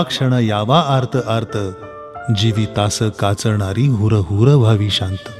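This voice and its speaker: A man with a mid-pitched voice speaks slowly and solemnly. His delivery is measured and expressive, in the style of a poetry reading. Music plays softly along with his voice. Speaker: reader reciting a poem by a late relative. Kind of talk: solemn poetry recitation with spoken introduction.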